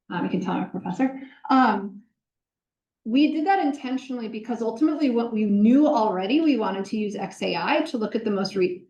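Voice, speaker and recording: A woman professor answering audience questions in a lecture hall, explaining with energy, often pausing on "um" and correcting herself mid-sentence. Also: mid-range voice, darkish tone, highs cut off. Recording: roomy lecture hall sound.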